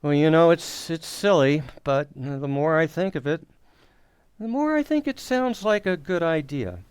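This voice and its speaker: A man's voice: fairly high pitch, expressive, normal speed, clean tone, no room sound, clean studio sound, natural voice. A man playing a bumbling, sheepish husband in an old-time radio comedy, hesitant and stammering, theatrically earnest.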